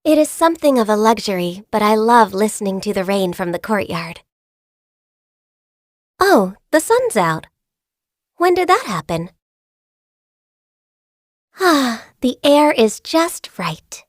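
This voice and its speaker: An actress voicing a gentle, shy and diligent young female secretary in a video game, soft-spoken, earnest and a little hesitant. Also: medium pitch, big pitch swings, takes it slowly, long pauses, no echo, studio quality. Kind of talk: video game character voice lines. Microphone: close studio mic.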